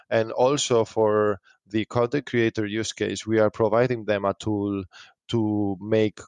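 A man's voice: lowish voice, a little mellow, dry recording. A man with a Spanish accent giving explanatory interview answers as a company founder.

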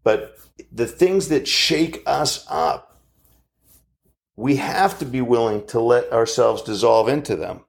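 Slow, gravelly-voiced man in his fifties. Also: mid-range voice. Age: 50s. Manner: slow, measured and earnest, with pauses. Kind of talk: reflective motivational monologue.

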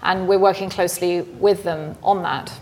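A woman's voice: on the low side, fairly even intonation, normal speed, darkish tone, a little hiss or hum. A woman working as a museum curator explains artworks in a gallery in a knowledgeable, explanatory way. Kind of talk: explaining art on a gallery tour. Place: art gallery, in front of a painting.

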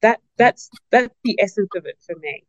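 A woman's voice: mid-range voice, lively intonation, normal speed, no room sound. A woman speaks as a guest on a podcast, reflective and earnest. She restarts phrases with repeated words and puts questions to herself as she thinks aloud. The sound is dry and a little muffled. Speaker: business partner and podcast guest. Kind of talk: interview answers.

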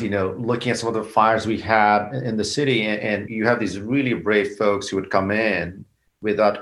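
A man's voice: on the low side, fairly bright tone, low-quality recording. A man from Bangladesh, a company founder, answering interview questions in a reflective way and explaining his ideas step by step. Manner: reflective, explains in steps, repeats words. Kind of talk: interview conversation.

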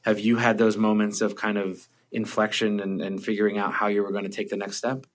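Guy hosting a work podcast, kinda low voice, rambles and restarts a lot. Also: flat delivery, fairly quick. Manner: rambling, restarts sentences often. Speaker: podcast host. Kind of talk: casual workplace podcast conversation.

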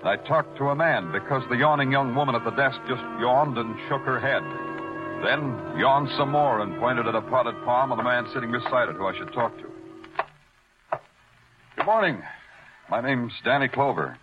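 A man's voice: mid-range voice, moderate pace, over music, natural voice. A man acting a hardboiled, world-weary police detective in 1940s radio noir style, dry, terse and moody. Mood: dry, probing. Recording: muffled vintage radio recording.

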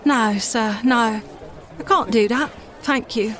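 A woman's voice: on the high side, slow pace. A woman voicing a poor, anxious young country mother in a storybook, humble, polite and pleading. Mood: humble, determined.

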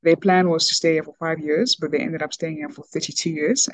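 A woman's voice: deep voice, fairly even intonation, brisk, dry recording. A woman originally from Ghana, speaking as an interview guest with frequent ums and restarts. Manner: hesitant, many ums and restarts. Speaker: podcast guest, business executive. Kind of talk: conversational interview.

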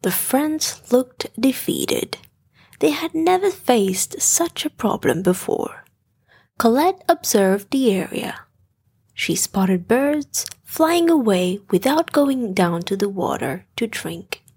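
A woman narrating a scripted bedtime story in a soft, gentle storytelling manner. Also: on the high side, lively intonation, slow pace, vocal fry, husky. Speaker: storyteller, podcast host.

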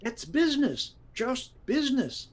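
An actor playing a placating, hapless husband who stammers, in an audio comedy. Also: male voice, extremely high pitch, sing-song, unhurried, full character voice. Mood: defensive, placating. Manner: stammering, appeasing. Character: placating husband, hapless small-time investor. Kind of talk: comedy audio drama acting.

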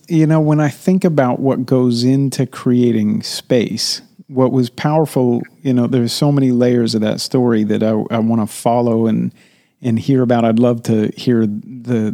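A man hosting an interview, speaking in a thoughtful, measured way and often pausing to search for words. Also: mid-range voice, fairly even intonation, mellow. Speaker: interview host. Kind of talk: casual interview.

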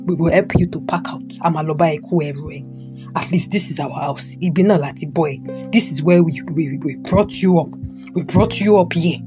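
A woman's voice: sits low, fairly quick, close to an everyday voice. A woman playing a stern but supportive elderly father in an audio drama, with a Nigerian Yoruba accent and an emphatic, repetitive, admonishing delivery. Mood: firm, resigned. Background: guitar music.

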